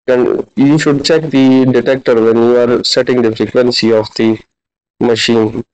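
A man in his thirties giving a halting, instructional practical demonstration as a physiotherapy teacher. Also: medium pitch, level tone, a little mellow. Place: large indoor classroom clinic room. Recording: dull, lo-fi camera audio.

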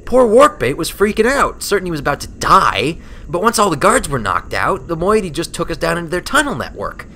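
Man voicing an animated, weary young pegasus mare. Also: a little high, brisk, a little hiss or hum, voice pushed up, heavily acted. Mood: excited, stressed. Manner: animated, colloquial. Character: brash, weary young female pegasus adventurer recounting her exploits. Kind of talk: storybook character voice.

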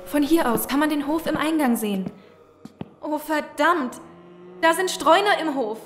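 High-pitched young woman, acted, bitter, frightened but resolute, audio drama, roomy sound, background noise. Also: expressive, a little slow. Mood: urgent. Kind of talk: German audio drama acting. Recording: echoey room with background noise.

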